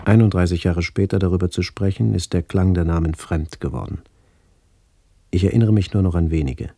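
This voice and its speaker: A middle-aged man reading a literary memoir for radio in a measured, sober way, with a gravelly voice. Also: sits low, dark tone. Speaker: author-narrator of a memoir radio play. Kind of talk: scripted literary narration, reminiscence.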